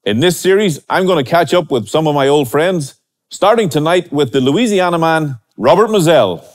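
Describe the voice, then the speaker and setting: A middle-aged man hosting a studio interview, asking brisk questions on a lapel mic. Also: fairly high pitch, expressive. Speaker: host. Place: studio with starry backdrop.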